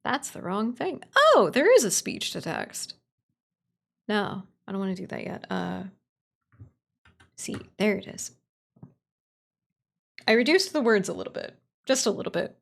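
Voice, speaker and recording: Informal, excitable woman streamer. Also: mid-range voice, a little slow, vocal fry, long pauses. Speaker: streamer, writer and voice actor. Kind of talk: casual livestream chat.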